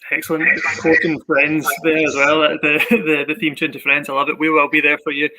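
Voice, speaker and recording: Bright-voiced man hosting. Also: a little high, little pitch movement, brisk, low-quality recording. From Glasgow area, Scotland. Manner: upbeat, enthusiastic, many fillers. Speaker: host of a university Q&A. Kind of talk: live online Q&A hosting.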